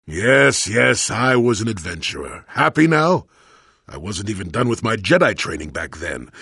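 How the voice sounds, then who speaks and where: An actor playing a cantankerous, wry old male Jedi hermit in a video game, with a gravelly, husky voice and a grumbling, sardonic manner. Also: lowish voice, normal speed, no echo, clean studio sound, full character voice. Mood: cranky, grudging. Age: older. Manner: grumbling, sardonic, theatrically expressive. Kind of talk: video game character dialogue.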